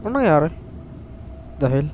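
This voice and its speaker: A man's voice: fairly high pitch, lively intonation, brisk, darkish tone, a little hiss or hum. A young man with a Filipino accent giving casual, slangy, swearing gameplay reactions. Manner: slangy, profane, repeats game dialogue. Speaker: gaming streamer. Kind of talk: casual game reaction commentary. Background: game music.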